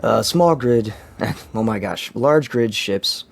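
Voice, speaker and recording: A young man with a hoarse, strained voice casually walking through his game builds, rambling with fillers and chuckles. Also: lowish voice, lively intonation, slow pace. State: hoarse, lost voice. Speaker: game channel host. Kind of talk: casual gameplay walkthrough.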